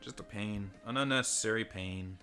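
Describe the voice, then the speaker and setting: A man's voice: moderate pace, over music. A man reviewing toys in a casual, rambling, self-deprecating way, swearing offhand. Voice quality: deep voice. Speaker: toy reviewer. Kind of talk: casual unboxing chat. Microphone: desk microphone and phone mic.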